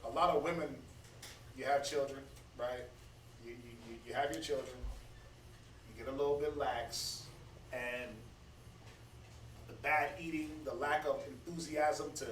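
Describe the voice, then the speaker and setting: A man's voice: on the high side, fairly even intonation, a little slow, long pauses, a bit bright. Man in his thirties, echoey distant room. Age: 30s. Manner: conversational, preachy, stammers and repeats words. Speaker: Bible study speaker. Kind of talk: casual teaching talk. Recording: echoey room, distant mic, faint noise.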